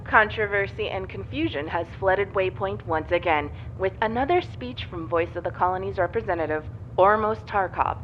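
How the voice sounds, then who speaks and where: An actress playing a composed female news anchor, reading a scripted bulletin in a formal delivery for an audio drama. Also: natural voice. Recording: dull broadcast with faint rumbling noise.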